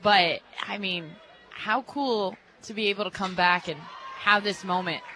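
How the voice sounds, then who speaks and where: A woman's voice: medium pitch, measured pace, pushed and bright, slight background noise, poor audio. An American woman giving casual, conversational color commentary on a sports broadcast. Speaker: color commentator, former player. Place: radio broadcast booth at a ballpark.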